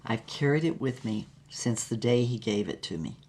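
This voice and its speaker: A woman's voice: a bass voice, animated, normal speed, a little mellow, a little hiss or hum. A woman playing a wistful, heartbroken former actress who narrates her lost love in an audio drama with dramatic, lingering melancholy. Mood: tender, sorrowful.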